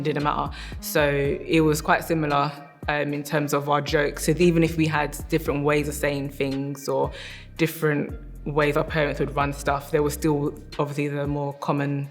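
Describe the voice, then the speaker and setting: A British woman talks casually as an interviewee, chatty and amused at herself. Her intonation stays quite flat, and faint music plays behind her. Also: sits low. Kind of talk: casual personal anecdotes.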